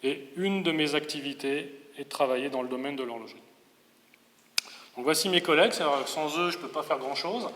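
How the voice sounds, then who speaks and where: A man's voice: on the high side, a little fast, fairly bright tone. A man in his forties from the Paris region with a metropolitan French accent gives a public lecture, explaining and rephrasing with some hesitation. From Paris region, France. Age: 40s. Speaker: engineering professor giving a public lecture. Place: large reverberant lecture venue. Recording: echoey hall with long reverb.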